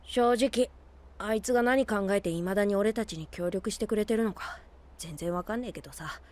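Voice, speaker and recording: A woman voices a brash boy, worldly beyond his years. The pitch is medium for a female voice, and the delivery is rough, casual and cocky, in anime audio drama style. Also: moderate pace, a little mellow, natural voice. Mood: frank. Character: cocky, blunt boy who is secretly much older.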